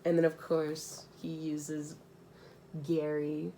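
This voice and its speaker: A woman's voice: deep voice, completely flat delivery, takes it slowly, dark tone, slight background noise. A young adult woman reading a rhyming children's storybook aloud, breaking into slangy, casual, giggly asides.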